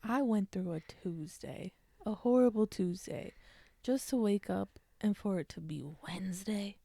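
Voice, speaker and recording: A woman's voice: medium pitch, measured pace, dark tone. A woman co-hosting a podcast, chatting casually in a laconic, agreeable way. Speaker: podcast co-host. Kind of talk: casual chat.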